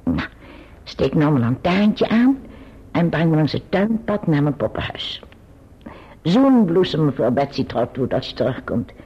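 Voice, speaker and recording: Husky, grimly affectionate old aunt. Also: female voice, low voice, very animated, exaggerated voice. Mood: brisk, affectionate. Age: older. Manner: deliberate, admonishing. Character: grim, kindly old great-aunt, self-confessed difficult eccentric. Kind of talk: Dutch radio play acting. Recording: dull, muffled radio recording.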